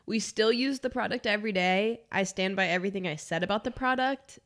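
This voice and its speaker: A woman's voice: medium pitch, brisk, a bit bright. A young woman in her twenties with a stuffy, congested nose, chatting casually as a podcast co-host with lots of filler words. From Miami, Florida.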